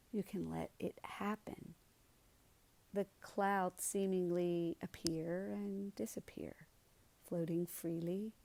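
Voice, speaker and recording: A woman leading a guided meditation in a soothing, unhurried way, with soft, gentle delivery and pauses. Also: on the low side, gravelly.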